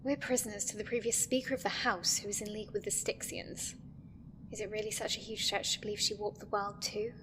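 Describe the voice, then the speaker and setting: A woman's voice: medium pitch, flat delivery, fairly quick, close to an everyday voice. A woman playing an exhausted prisoner who speaks in a wry, half-whispered way in an audio drama. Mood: weary. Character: weary imprisoned woman, dry humor. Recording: reverberant, noisy ambience.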